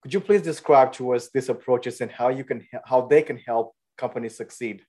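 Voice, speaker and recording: A man hosting an interview speaks at an ordinary pitch. He asks curious questions and cheers his guest on with quick, appreciative remarks. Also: mellow. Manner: curious and encouraging, with quick affirmations. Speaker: interviewer, host.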